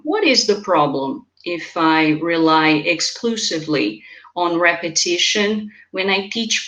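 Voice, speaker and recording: A woman presenting a pronunciation webinar, speaking in a measured, encouraging way and reading out participants' comments. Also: mid-range voice, fairly bright tone. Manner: measured, encouraging, thinks aloud with fillers. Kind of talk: live webinar teaching, reading chat comments. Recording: roomy echo.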